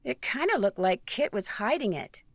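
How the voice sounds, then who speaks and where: Teenage girl detective, game character, lightly high pitch, dry sound, level-headed, curious, measured delivery. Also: sing-song, no room sound. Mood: matter-of-fact. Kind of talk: scripted video game voice acting.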